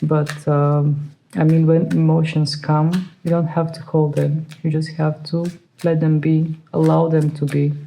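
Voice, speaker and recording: A tarot reader who is not a native English speaker, hesitantly searching for words with many fillers and soft laughs. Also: medium pitch, little pitch movement, unhurried, creaky, poor audio. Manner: soft laughs while talking. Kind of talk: pick-a-card tarot reading.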